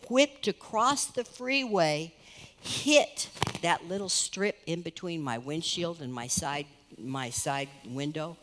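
A middle-aged woman pastor preaching in a large church hall. She speaks earnestly and conversationally, and her pitch swings between lifted emphasis and quiet, low asides. Her voice carries a long reverb off the room around her. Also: slight background noise. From United States. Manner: earnest, conversational preaching with lively rises and soft drops. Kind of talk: sermon. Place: large church stage, indoors.

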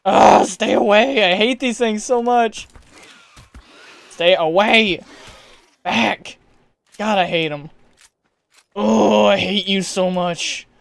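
A young man giving casual, chatty gameplay commentary, excitable and yelling at creatures, a bit hoarse as he is losing his voice. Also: high-pitched, takes it slowly, long pauses, dry recording. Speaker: gaming YouTuber.

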